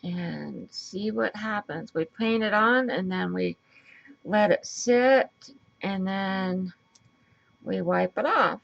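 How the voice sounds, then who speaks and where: A woman with a raspy voice and a stuffy nose, chatting casually as she works through a crafting tutorial and thinks aloud. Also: medium pitch, slow, highs cut off. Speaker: craft video host.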